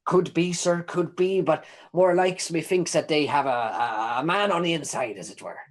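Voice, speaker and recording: Cheeky rambling prisoner informant, played by a man, stammering, folksy, slightly high. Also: moderate pace, natural voice. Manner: rambling, stammering, old-timey folksy phrasing. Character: imprisoned male informant Tad, chatty, cheeky, rambling.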